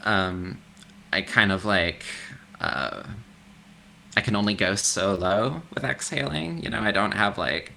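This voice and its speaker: Deep gravelly man, bright edge. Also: expressive, unhurried, a little hiss or hum. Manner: loose and chatty, swears casually, laughs often. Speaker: guest, extreme metal vocalist. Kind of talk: casual interview chat.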